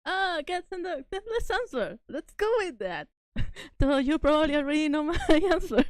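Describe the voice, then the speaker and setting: A woman's voice: high-pitched, expressive, moderate pace, no echo, studio quality. A woman hosting a casual debate chat, giggling often as she talks. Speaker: host.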